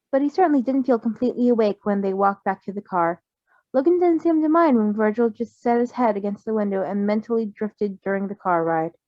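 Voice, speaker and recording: A woman's voice: mid-range voice, dry recording. Woman, mid-range voice, story reader and co-host, informal delivery, slightly muffled home recording. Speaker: fan fiction reader and co-host. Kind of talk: story reading, then casual excited chat.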